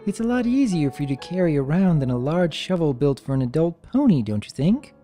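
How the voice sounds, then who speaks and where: A storyteller voicing a caring female pony, gentle, teasing and motherly, with a coaxing sing-song manner. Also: medium pitch, sing-song, fairly quick, soft background music, lightly acted. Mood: teasing, cheerful.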